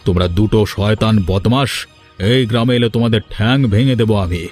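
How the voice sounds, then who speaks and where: A man's voice: on the low side, brisk, darkish tone, faint music behind, close to an everyday voice. A man playing a stern, commanding, unforgiving enforcer for a village landlord in a cartoon. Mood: angry commanding. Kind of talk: cartoon voice acting.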